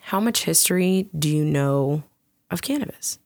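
A woman co-hosting a casual podcast, chatty and upbeat, with a soft soothing voice that often breaks into giggles. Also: deep voice, lively intonation, moderate pace, dry recording, clean studio sound. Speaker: podcast co-host.

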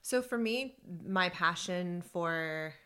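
A woman with a slightly low voice, co-hosting a podcast in casual conversation. She tells her stories in an animated way, breaking into laughter often. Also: slow. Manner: animated storytelling with frequent laughs. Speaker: podcast co-host. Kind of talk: casual conversation about workplace groups.

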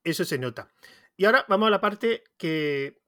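A man's voice: sits high, animated, normal speed, bright, forceful tone, no room sound, clean studio sound. A man with an Andalusian Spanish accent hosting a podcast interview, chatty and rambling, often restarting his sentences. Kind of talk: casual conversational interview.

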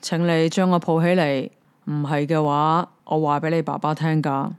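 Woman putting on a pushy little frog guy, hong kong cantonese, smooth voice, keeps begging. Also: deep voice, level tone, moderate pace. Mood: demanding. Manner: coaxing, repetitive calling. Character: pleading, insistent male frog.

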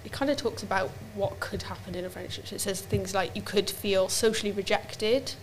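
Woman co-host, medium pitch, chatty and meandering, faint background hiss. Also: fairly quick, rough recording. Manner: chatty, explains at length with many "like"s. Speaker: podcast co-host. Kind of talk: casual chat.

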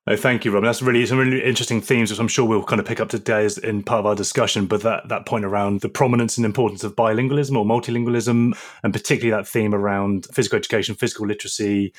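A man hosting an academic podcast, asking questions quickly with many repeated words and restarts. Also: on the low side, steady tone. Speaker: podcast host, professor. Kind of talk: podcast interview.

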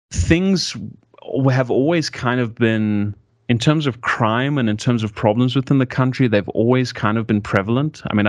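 Low-voiced reflective young man. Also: dry recording. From South Africa. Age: 20s. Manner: reflective, thoughtful, with frequent self-corrections. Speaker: interview guest. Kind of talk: casual conversational interview.

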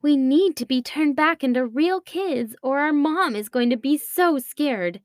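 A woman's voice: sits high, lively intonation, measured pace, no room sound, studio quality, pitched above the performer's own voice. A woman voicing a sensible, earnest young girl in a children's story, with a firm, reasoning manner. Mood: determined.

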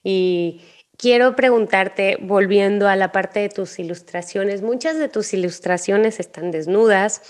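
A woman with a medium-pitched voice hosts a conversational interview. She sounds affirming and puts emphasis on her key points as she leads into questions. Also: a little slow. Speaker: podcast host, interviewer.

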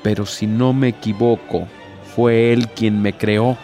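A man's voice: fairly low pitch, steady tone, unhurried, mellow. Guy doing a bitter proud devil in a radio play, sarcastic n theatrical, bit of music behind. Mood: bitter, accusing. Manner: theatrical, rhetorical, sardonic.

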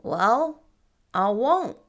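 A woman's voice: fairly high pitch, animated, measured pace. A woman voicing a gloomy ten-year-old boy who talks in a sulky, plainspoken way. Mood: brightened. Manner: sulky, plainspoken, stumbles over big words.

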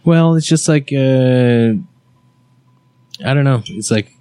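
A young man from Canada chatting casually as an interview guest, relaxed and halting with many fillers. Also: mid-range voice, smooth. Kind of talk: casual chat.

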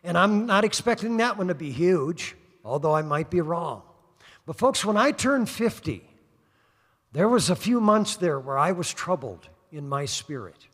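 An older man in his sixties preaching a sermon, sprinkling "praise God" through his lines as he pushes toward fervent exhortation. His voice sits high for a man. It rings with the long reverb of a church hall. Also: lively intonation, measured pace, fairly bright tone. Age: 60s. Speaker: pastor. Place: church service.

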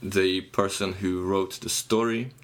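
A man's voice: deep voice, fairly even intonation, slow pace. A young man from Ukraine with a Ukrainian accent, a musician guest chatting on a podcast, rambling and correcting himself with wry humor. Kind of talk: casual podcast conversation.

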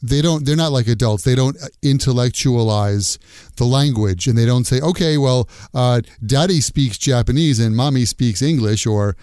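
A man with a smooth, resonant voice leads the chat, nodding along with frequent affirmations. The sound is dry and close, with no room around it. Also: medium pitch, normal speed, darkish tone, no echo. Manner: attentive, many affirmations, repeats words. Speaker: host, teacher. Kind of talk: interview conversation.

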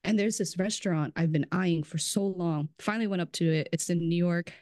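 A woman's voice: lowish voice, brisk, a little mellow, dry recording. Chatty woman on a dry mic. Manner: chatty, fills speech with "like", laughs often. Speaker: brand strategist guest. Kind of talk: casual podcast chat.

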